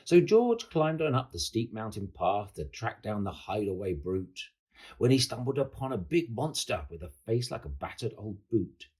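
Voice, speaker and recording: A man reading a children's picture book aloud with dramatic, expressive delivery. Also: fairly low pitch, normal speed, breathy edge. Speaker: storyteller.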